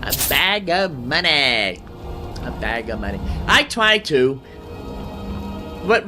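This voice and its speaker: A man giving casual, informal let's play commentary as a gaming host, often repeating phrases to himself. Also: fairly high pitch.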